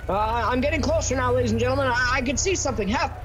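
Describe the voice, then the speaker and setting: A man's voice: very high voice, a bit bright, slight background noise, low-quality recording. An actor playing a flustered, stammering male field reporter in a 1930s radio comedy spoof. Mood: flustered, awed. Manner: stammering, halting.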